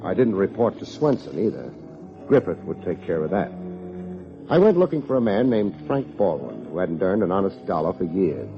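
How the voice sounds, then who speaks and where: An American actor playing a wry, hard-boiled private detective in an old radio drama, with dry, needling delivery. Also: male voice, sits low, very animated, normal speed, music in the background. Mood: grim narration. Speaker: private investigator and narrator. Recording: dull vintage radio recording.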